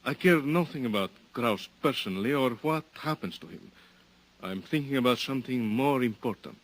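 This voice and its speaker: An actor playing a stern, formal police lieutenant in a 1950s radio drama, speaking in measured, careful sentences without contractions. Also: male voice, medium pitch, lively intonation, lightly acted, low-quality recording. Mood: grave. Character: stern, formal male police lieutenant in a small coastal town.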